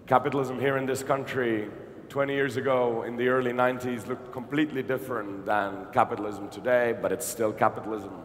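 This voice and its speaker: A man at middle pitch moderates a conference panel, joking easily between halting restarts. His voice rings in a long hall reverb with a faint hum of noise underneath. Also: fairly even intonation.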